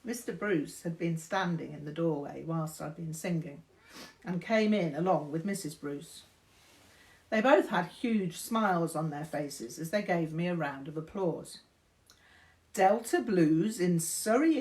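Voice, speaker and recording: An older woman reading a memoir aloud in a measured, deliberate storytelling manner, indoors. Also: lowish voice, animated, darkish tone. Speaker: reader of a memoir. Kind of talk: audiobook-style narration of a memoir. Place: indoors, small room.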